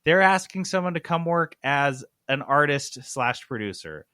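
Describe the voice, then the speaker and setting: A man's voice: on the high side, normal speed, no echo. Dry-sounding man, emphatic podcast talker. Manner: animated, emphatic, sweary, sarcastic. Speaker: gaming podcast host. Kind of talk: casual comic explaining of game industry news.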